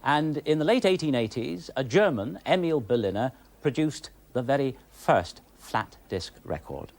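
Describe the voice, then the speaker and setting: A British man presenting a history programme in a measured, explanatory and slightly wry way. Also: mid-range voice, lively intonation. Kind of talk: television history programme narration.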